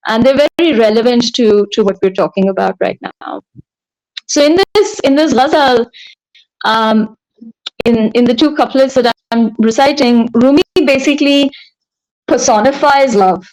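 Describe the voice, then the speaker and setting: A woman's voice: a little high, normal speed, a little mellow, low-quality recording. A middle-aged woman talking as a guest in an interview, thoughtful and searching for her words. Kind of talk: reflective interview conversation.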